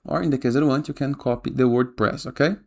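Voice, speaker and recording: A man with a creaky, gravelly voice at an ordinary male pitch hosts a casual software tutorial. He walks through steps as a voice-over and often tags instructions with a quick check-in question. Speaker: tutorial host. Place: voice-over for a screen recording.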